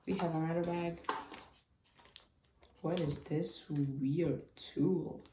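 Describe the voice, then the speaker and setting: A woman whose first language is Chinese, chatting casually and haltingly through a cube unboxing with small grammar slips. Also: low voice, steady tone. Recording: echoey room with clicking noise.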